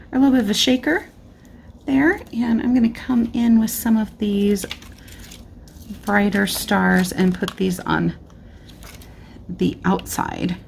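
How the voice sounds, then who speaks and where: A woman's voice: medium pitch, creaky, darkish tone, a little hiss or hum. A woman hosting a card-making tutorial, chatting casually and thinking aloud as she works, slightly losing her voice. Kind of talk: casual crafting tutorial narration. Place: indoor craft desk. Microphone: overhead camera, hands only on craft mat.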